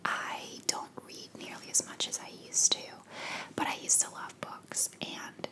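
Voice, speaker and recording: A young woman from Belgium with an American accent, whispering answers to viewer questions in a rambling ASMR Q&A at home on a sensitive microphone. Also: a little slow. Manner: soft unvoiced whisper, rambling with fillers. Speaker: ASMR creator. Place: home living room.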